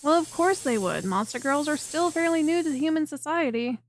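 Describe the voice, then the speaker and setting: High-voiced, clingy lamia chiropractor played by a woman. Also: expressive, normal speed, a little hiss or hum. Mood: wry, candid. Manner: soft, coaxing, teasing, attentive. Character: friendly, clingy female lamia chiropractor, coiled snake monster girl. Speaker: voice performer. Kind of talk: ASMR roleplay, spoken to the listener.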